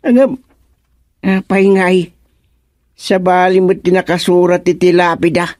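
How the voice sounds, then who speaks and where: An actor playing a bewildered, stubborn village father with an Ilocano Filipino accent, in drawn-out, exclamatory radio comedy-drama style. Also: high-pitched, fairly even intonation, a little mellow, no echo, studio quality. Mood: alarmed, bewildered.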